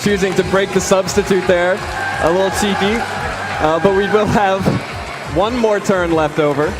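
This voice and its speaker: A man giving live play-by-play commentary at a competitive gaming tournament, calling the action with rising excitement. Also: sits high, moderate pace, rough recording. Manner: excited calls, building to big announcements. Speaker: esports commentator. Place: event arena. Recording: loud crowd and music under voice. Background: cheering crowd and music.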